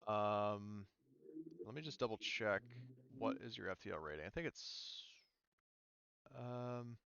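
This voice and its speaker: A man narrating a tabletop roleplaying game casually as game master, haltingly thinking aloud as he goes. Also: lowish voice, creaky, a bit bright, smooth, lo-fi sound. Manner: halting, thinking aloud while checking rules.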